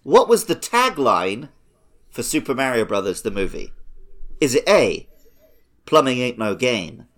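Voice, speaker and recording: A man's voice: medium pitch, big pitch swings, unhurried, pauses often, a bit bright. A man casually hosting a comedy quiz podcast, chatting and reading out questions.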